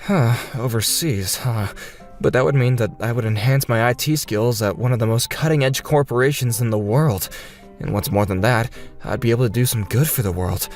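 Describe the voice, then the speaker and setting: Solemn, low-pitched father played by a man. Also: normal speed, darkish tone, close to an everyday voice. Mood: resolute. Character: gifted, earnest programmer father, wronged and resolute. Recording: music bed behind the voice.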